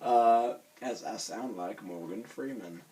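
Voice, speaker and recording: A hoarse young man with a raspy voice, rambling casually through a self-conscious vlog with many fillers and restarts. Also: lowish voice, takes it slowly, dark tone. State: hoarse from screaming. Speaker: vlogger reviewing a cartoon episode.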